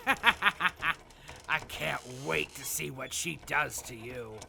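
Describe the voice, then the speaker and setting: A man's voice: a little high, drawn-out words, gravelly, background noise, heavily acted. A man playing a sadistic wasteland raider in an audio drama, gleeful and creepy, lingering over his words about gore. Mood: gleeful menace. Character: sadistic male wasteland raider who savors torture and gore. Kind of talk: post-apocalyptic audio drama acting.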